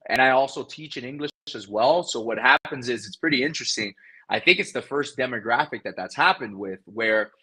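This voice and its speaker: Bright, husky-voiced man, energetic and talkative. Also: medium pitch, poor audio. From South Florida. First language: Spanish. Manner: energetic, repeats words, fast restarts. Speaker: guest, real estate investor. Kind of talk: casual interview talk.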